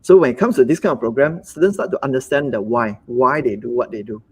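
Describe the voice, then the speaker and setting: A man with a Malaysian English accent, a business owner talking in a live webinar conversation, halting and repeating words as he acts out quotes. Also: medium pitch, a little mellow, husky.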